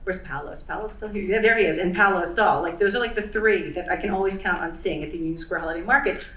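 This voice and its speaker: A woman's voice: on the low side, fast talker, a bit bright, distant mic. Chatty woman speaking off-mic in echoey room. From United States. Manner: chatty, many "right?" and "you know". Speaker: event speaker. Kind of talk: presenting to a live audience.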